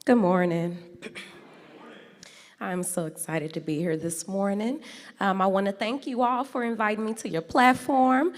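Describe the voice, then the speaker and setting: A woman from St. Louis in her forties, giving a loud, playful talk that draws in the audience. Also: mid-range voice, unhurried. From St. Louis, USA. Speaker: guest speaker. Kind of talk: lively public talk with audience games. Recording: echoey hall sound.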